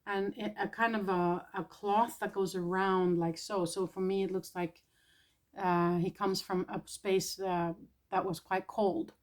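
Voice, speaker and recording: Soft-spoken woman, tentative. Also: mid-range voice, flat delivery. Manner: soft, tentative, pausing often. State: irritated throat, clearing it. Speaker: spiritual channeler. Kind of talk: casual spiritual vlog.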